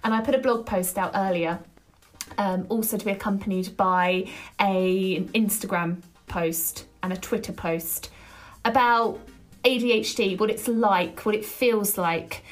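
A woman hosting her own podcast, talking alone in an energetic, chatty way with a loud voice and frequent ums. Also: medium pitch, measured pace, faint music behind. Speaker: podcast host. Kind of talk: solo podcast monologue.

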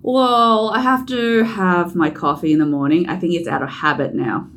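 A woman's voice: on the low side, moderate pace, a little hiss or hum. A woman hosting a podcast, chatting casually with a guest and laughing often. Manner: laughs often, animated questions. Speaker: podcast host. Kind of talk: casual interview chat. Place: Chinatown, Sydney.

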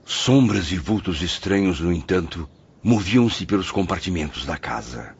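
An actor with a Brazilian accent playing a grave spirit who narrates a radio drama in a solemn, sorrowful, literary way. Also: male voice, low-pitched, lively intonation, moderate pace, husky, heavily acted. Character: grave male spirit recalling his companions' suffering. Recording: muffled radio production, music underneath.

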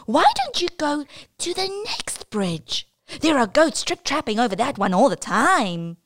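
A woman's voice: very animated, a little slow, fairly bright tone, heavily acted. A woman voicing a small, frightened mouse pleading with a troll in a children's story. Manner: squeaky, pleading.

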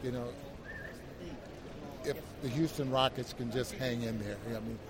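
A man co-hosting a sports show, giving casual basketball analysis in a halting way, repeating words as he builds each point. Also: mid-range voice, steady tone. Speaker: basketball broadcast co-host, analyst. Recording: crowd noise behind the voice.

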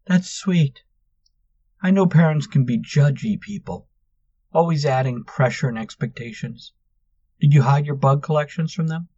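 A man voicing gentle, sympathetic Luna. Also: on the high side, normal speed, muffled recording, voice pushed up, natural voice. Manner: soft, sympathetic. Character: gentle sympathetic young woman from the church.

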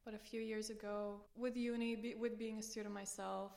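A woman's voice: mid-range voice, little pitch movement, normal speed, creaky, a little mellow. Woman in a reverberant interview. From Romania. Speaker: podcast guest, student coach. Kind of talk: conversational explanation in an interview. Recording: reverberant hall-like room sound.

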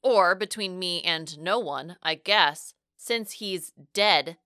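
A woman voicing a stubborn, sharp-tongued young woman in love and hurt, in emotional audiobook dialogue. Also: on the low side, slow, dry recording, clean studio sound, heavily acted. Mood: bitter. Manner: emotional, blunt, argumentative.

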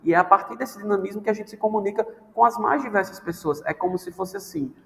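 A man's voice: high voice, fast, gravelly, fairly bright tone. A man, a language teacher from Brazil, chatting casually and animatedly on a podcast, playfully acting out quoted voices. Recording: long reverb, faint background noise.

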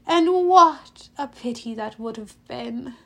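A woman's voice: sits high, lively intonation, slow. A woman voicing a bitter, sneering old man, a cursed spirit in authority, in a storybook reading. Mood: disdainful. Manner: sneering, muttering, menacing.

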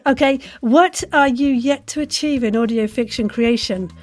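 A woman's voice: a little high, normal speed. A woman hosting a casual interview, effusive in manner, often exclaiming and encouraging her guest. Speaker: podcast host, interviewer.

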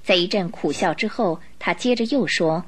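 A woman's voice: fairly low pitch, normal speed. A woman narrator telling a scripted story for a radio drama in a dramatic storytelling style.

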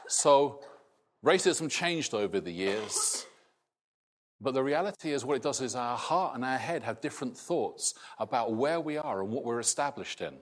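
A middle-aged man raised in Scotland, with a softened Scottish accent, preaching a sermon in a slow, halting way with many restarts. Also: medium pitch, expressive. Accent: Scottish, largely softened. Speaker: pastor.

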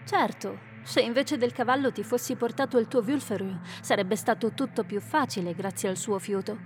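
A woman voicing a calm female companion and healer who leads the group, in an Italian radio drama, speaking in a composed, authoritative way. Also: fairly high pitch, moderate pace, a little hiss or hum, lightly acted.

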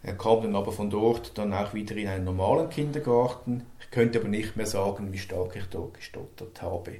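A man's voice: on the low side, brisk, warm tone, roomy sound. A man, an interview guest speaking earnestly about his life, stuttering and repeating words. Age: 50s. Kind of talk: personal interview.

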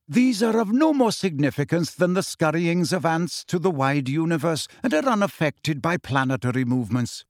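A man acts out a proud, solemn centaur teacher from a storybook. His pitch sits high for a male voice and moves widely, while the delivery stays measured, formal and aloof. The sound is close and dry. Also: animated, no echo, close to an everyday voice. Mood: dismissive, lofty. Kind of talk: acted audiobook dialogue.

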